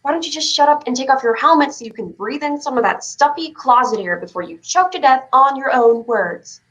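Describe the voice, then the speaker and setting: A woman's voice: sits high, expressive, brisk, a bit bright, muffled recording. A woman playing a stubborn, dreamy child who plays astronaut, in a staged play reading. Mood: fed up, scolding.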